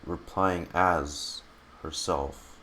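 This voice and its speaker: Very deep-voiced man streaming a game. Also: fairly even intonation, drawn-out words, bright, forceful tone, slight background noise. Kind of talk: reading game text aloud and reacting.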